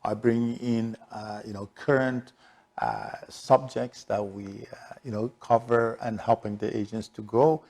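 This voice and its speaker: Gravelly middle-aged man with Iranian Persian accent. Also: on the low side, expressive, unhurried, darkish tone. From Shiraz, southern Iran. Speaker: interview guest, real estate broker. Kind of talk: conversational interview answers.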